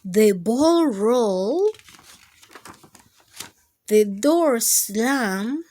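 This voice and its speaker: A woman's voice: a little high, animated, extremely slow, mellow, a little hiss or hum. A woman reading picture books aloud to young children, speaking expressively, drawing out words and addressing the little listeners directly. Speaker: children's storytime host and reader.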